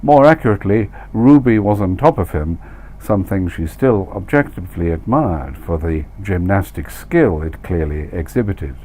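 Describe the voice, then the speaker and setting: A man reading his own short story as scripted literary narration, measured and wry, with dramatic emphasis. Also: low-pitched, animated, warm tone, low-quality recording.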